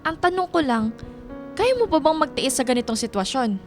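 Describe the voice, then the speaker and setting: A woman's voice: high-pitched, expressive, brisk, faint music behind. A woman playing a stern, no-nonsense office boss in a radio drama, speaking in a firm, businesslike manner. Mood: firm, admonishing.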